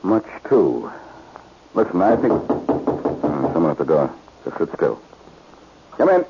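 Bossy clever detective type, deep husky voice, forties radio drama, dull old recording. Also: male voice, very animated, slow pace, background noise, heavily acted. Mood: wary, commanding. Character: shrewd, commanding male amateur sleuth. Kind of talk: 1940s radio acting.

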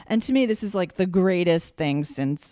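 A woman's voice: on the low side, animated, normal speed, dark tone, no echo, studio quality. A woman co-hosting a podcast, chatting casually about political news in an emphatic, incredulous, colloquial way. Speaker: podcast co-host.

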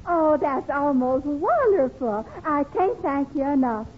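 A woman's voice: high voice, animated, unhurried. A woman playing a sweet, naive, eager young secretary in an old radio comedy, gushing as she talks. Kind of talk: 1940s-50s radio comedy acting. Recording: muffled, hissy old radio recording.

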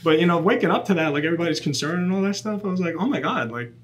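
Animated man in his twenties, fairly high voice. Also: racing, slight background noise. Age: 20s. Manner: animated storyteller, acts out quotes. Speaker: police officer guest. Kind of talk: casual podcast chat.

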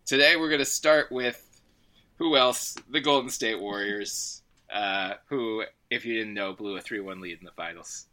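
A man hosts a casual sports podcast, chatty and quick to laugh. His voice sits low but sounds bright and forceful, with a slight froggy edge from a cold. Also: rough recording. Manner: joking, laughs often. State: getting over a cold, a little froggy. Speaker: podcast host.

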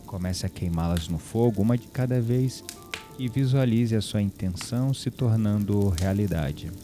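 Audio drama lead: low-voiced Brazilian man played by an actor, mysterious calm spiritual guide, soft dark tone over music. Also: normal speed, lightly acted. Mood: calm, enigmatic. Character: mysterious calm man guiding a fire ritual.

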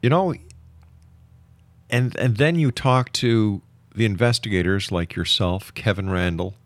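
A man's voice: on the low side, unhurried, dry recording. A man hosting an interview show, talking conversationally with his guest. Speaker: radio show host.

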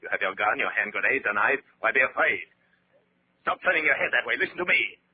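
An actor playing a bullying, secretly terrified German officer in a 1940s radio horror drama, barking commands insistently. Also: male voice, mid-range voice, expressive, fast talker, lightly acted. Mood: agitated, ordering. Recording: scratchy, narrow-band 1940s radio recording.

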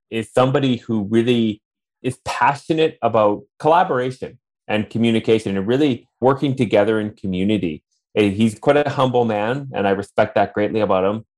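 A man hosting an interview podcast, introducing his guest earnestly and warmly, with fillers and restarts. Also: fairly low pitch. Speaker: podcast host.

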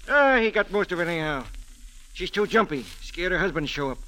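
A man's voice: sits high, very animated, brisk, sharp tone, full character voice, low-quality recording. An actor playing a slangy, distracted and evasive small-time gambling henchman in 1940s radio style.

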